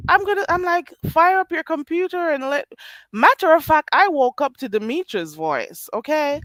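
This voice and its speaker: A woman's voice: on the high side, a bit bright, no room sound. Stuffy, nasal woman chatting live late at night. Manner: chatty, laughs often, drawls "child". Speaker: live streamer reading chat. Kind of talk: casual late-night live stream chat.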